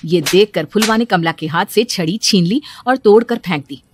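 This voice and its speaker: A woman's voice: medium pitch, moderate pace, noisy background. Woman narrating a cartoon story.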